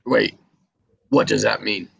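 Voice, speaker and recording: A man's voice: on the low side, steady tone, slow, vocal fry, breathy edge, no echo, exaggerated voice. A man reading the part of a hesitant yuppie husband in a screenplay table read, dry and noncommittal. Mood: businesslike, dry. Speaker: actor in a live table read. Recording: dull mic with faint noise.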